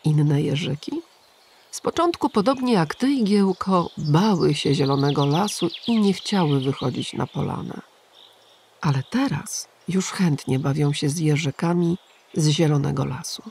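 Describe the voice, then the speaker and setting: A weary, kind old grey pigeon in a storybook, speaking slowly and gently to comfort a young child, as a woman puts him on. The voice is low and a little gravelly. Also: slight background noise, full character voice. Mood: reassuring. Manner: calm, gentle and reassuring.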